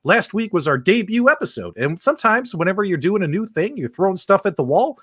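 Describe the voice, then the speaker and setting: A man's voice: high-pitched, fairly quick, husky, no echo, clean studio sound. A man hosting a live video game podcast, talking with energy and hyping up the audience. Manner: energetic, upbeat, hypes the audience. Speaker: host.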